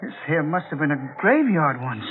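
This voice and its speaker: Highish, uneasy country laborer. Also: male voice, sing-song, moderate pace. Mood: alarmed, uneasy. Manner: folksy, hesitant, nervous. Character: uneasy plain-spoken rural male laborer digging. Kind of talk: 1940s radio drama acting. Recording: noisy, tinny 1940s radio recording.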